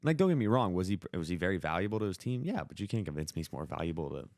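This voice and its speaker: A man's voice: deep voice, very animated, racing, a little mellow, no echo. A young American man chatting casually about sports as a podcast co-host, with an opinionated, emphatic, slangy way of talking.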